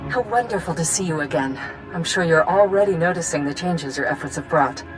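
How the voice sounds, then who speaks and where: An actress playing a determined colonial governor in a video game, speaking formally and earnestly to rally a hero. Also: female voice, low-pitched, lively intonation, a little fast, music in the background, rough recording. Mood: gracious, hopeful. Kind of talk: video game cutscene acting.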